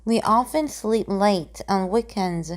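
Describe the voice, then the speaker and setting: Woman reading grammar drills; clear, measured, mid-pitched, pauses after each sentence. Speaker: example sentence reader. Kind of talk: slow, evenly paced reading of grammar example sentences.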